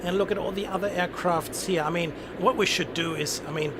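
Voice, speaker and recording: Male stream host, high voice, enthusiastic casual commentary, slightly noisy setup. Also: fairly even intonation, brisk. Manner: enthusiastic, repeats phrases for emphasis.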